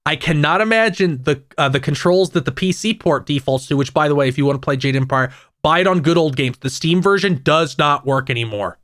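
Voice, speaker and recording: A man with a fairly high voice chats about games on a podcast, rambling along with plenty of restarts and asides. The sound is dry and close, with no room around it. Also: fairly bright tone, no room sound. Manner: rambling, many restarts and fillers, quick asides. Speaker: podcast co-host. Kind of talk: casual gaming podcast chat.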